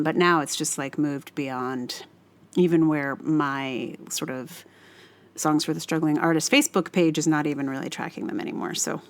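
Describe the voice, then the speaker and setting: A woman hosting a solo podcast, talking casually in a rambling, hesitant way and often correcting herself as she goes. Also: low-pitched. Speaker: podcast host.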